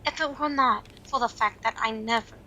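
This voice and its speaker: A woman portrays a stern, wry older teacher of magic in an audio play. The voice is fairly high, bright and sharp, brisk and dry in delivery, over faint background noise. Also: lo-fi sound.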